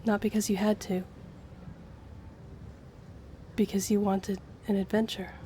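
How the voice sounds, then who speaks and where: A woman's voice: mid-range voice, level tone, fairly quick, dark tone, natural voice. A woman playing a friendly, earnest young woman with a shy romantic streak in an intimate audio roleplay, speaking to the listener. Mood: earnest, warm. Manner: chatty, earnest, flustered hesitations when romantic. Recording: clear background ambience noise.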